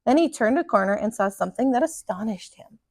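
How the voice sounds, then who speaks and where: A woman reading a storybook aloud for children in an animated, dramatic teacher's style. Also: fairly high pitch, fast talker, no echo, studio quality.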